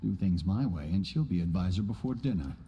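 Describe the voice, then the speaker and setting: A suave male elf schemer in a fantasy game, low n really mellow, lively intonation. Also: a little fast, a little hiss or hum. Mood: sly. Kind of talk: fantasy video game voice acting.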